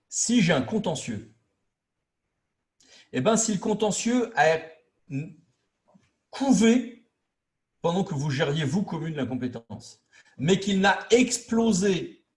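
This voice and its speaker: Man lecturing in a colloquial, emphatic way. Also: on the high side. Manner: colloquial and didactic, acting out imagined quotes. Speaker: trainer lecturing local officials on intermunicipal law. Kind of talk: informal training lecture.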